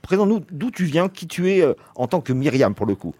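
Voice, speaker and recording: A man hosting a live radio interview in a studio, joking and leading the talk with quick questions. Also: on the high side, sing-song, warm tone, slightly rough, no room sound. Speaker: radio host.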